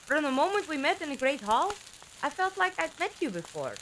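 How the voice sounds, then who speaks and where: A woman acting in a fan audio drama as a bewildered, earnest, quick-witted companion who questions everything. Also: high voice, very animated, a bit bright, slight background noise, low-quality recording. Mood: earnest, uneasy.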